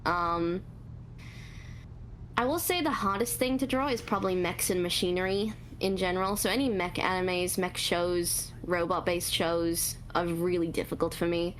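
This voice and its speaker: A woman with a naturally high voice, answering interview questions about her voice acting and art in a relaxed, hesitant way, with many pauses and fillers. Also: slight background noise, rough recording. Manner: relaxed, hesitant, many ums, laughs at herself. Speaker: voice actor and artist, interview guest. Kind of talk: casual Q&A interview.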